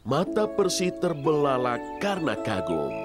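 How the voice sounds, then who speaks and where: A man narrating a scripted children's story in an expressive storyteller's manner. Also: on the high side, a little slow. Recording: loud music behind.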